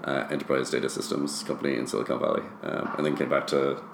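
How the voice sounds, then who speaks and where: Very deep-voiced man in a roomy space. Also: level tone, quick pace, vocal fry. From Ireland, later years in the US. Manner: explanatory, often checks with "right?". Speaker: interview guest, startup founder. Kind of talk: conversational interview answers. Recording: roomy echo, faint background noise.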